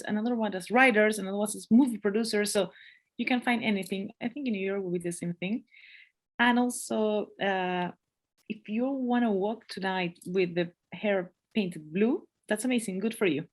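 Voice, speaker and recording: Woman, 30s, from Peru, Spanish accent, non-native English, chatty, laughs often, restarts sentences. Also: medium pitch. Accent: Peruvian Spanish. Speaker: podcast guest. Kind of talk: casual interview chat.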